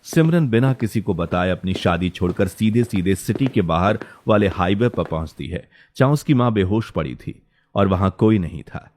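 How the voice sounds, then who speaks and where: A man's voice: sits low, expressive, normal speed, a little mellow, slight background noise. A man delivering scripted dramatic narration for a story.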